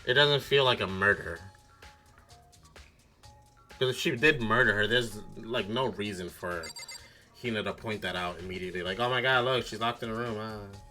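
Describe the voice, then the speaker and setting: A man's voice: fairly low pitch, normal speed, long pauses, pushed and bright, soft background music. A man streaming a game with casual commentary, reasoning aloud in a blunt, speculative way with casual swearing. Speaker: streamer playing a mystery game.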